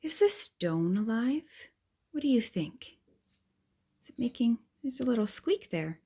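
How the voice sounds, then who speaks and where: Husky woman reading to little children, lively intonation. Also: fairly high pitch, a little slow. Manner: gentle, explanatory, question-and-answer delivery. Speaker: reader of a children's picture book. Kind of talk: read-aloud storytelling to young children with questions and asides.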